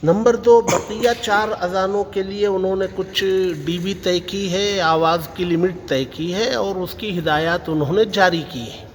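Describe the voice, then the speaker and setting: A man's voice: sits high, measured pace. A man with an Indian accent giving an explanatory public statement as a community spokesman. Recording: long hall reverb, faint noise.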